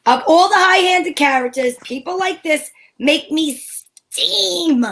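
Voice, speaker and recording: A woman's voice: extremely high pitch, slow, fairly bright tone, exaggerated voice. A woman playing a sassy, wisecracking young lady detective who narrates in an arch, old-time radio comedy style. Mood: indignant, wry.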